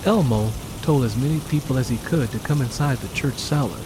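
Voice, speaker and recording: A man's voice: mid-range voice, a little fast, background noise. A man with an American accent reading dramatic scripted audiobook narration. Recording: rain and music behind the voice.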